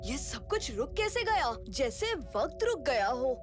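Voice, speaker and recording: Loud music plays behind a plucky young cartoon boy cop. The voice is high, and the delivery is brave, confident and declamatory. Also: sing-song, a little slow. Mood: puzzled. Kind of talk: cartoon hero dubbing.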